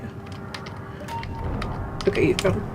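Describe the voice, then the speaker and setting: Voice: unhurried, over music. A streamer muttering through a game in casual commentary, with the odd curse. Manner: mutters to self, casual swearing.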